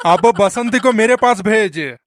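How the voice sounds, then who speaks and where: A man plays a foolish bandit chief from a comedy cartoon. He drawls his threats at an unhurried pace, taunting and repeating himself with menacing swagger. The sound is very dry and close. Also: high voice, no room sound. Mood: commanding. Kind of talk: exaggerated comic cartoon dialogue.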